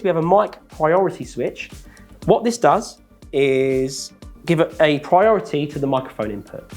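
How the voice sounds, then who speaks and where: A man, sounding, calmly walking through product features in a scripted, methodical presentation. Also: on the high side. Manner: measured, methodical listing of features.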